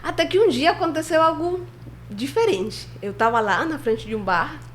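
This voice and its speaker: A young woman with a Spanish accent and Spanish as her first language, telling her story as a podcast guest in an animated way and acting out dialogue. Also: high voice, normal speed, a little hiss or hum. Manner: animated, laughs often, acts out dialogue. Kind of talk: casual storytelling interview.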